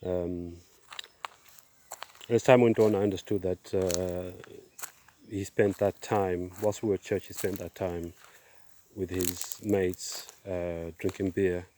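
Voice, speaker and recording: A middle-aged man giving a personal testimony outdoors, speaking in a halting, measured way with pauses. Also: low voice, fairly even intonation, warm tone, a little hiss or hum. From Zimbabwe, born in Zambia. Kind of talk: personal testimony, casual monologue. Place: outdoors, on a walk.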